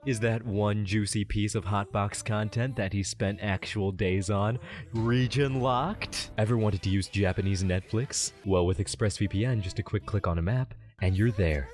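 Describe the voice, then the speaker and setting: Deep voiced guy doing a jokey sponsor read, music behind. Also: measured pace, darkish tone. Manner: tongue-in-cheek. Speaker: channel host reading sponsor ad. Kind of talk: scripted comedic ad narration.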